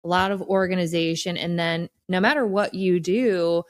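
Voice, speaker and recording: A woman chatting casually as a podcast co-host, telling things in an animated way with wry exaggeration. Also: lowish voice, normal speed, no echo, studio quality.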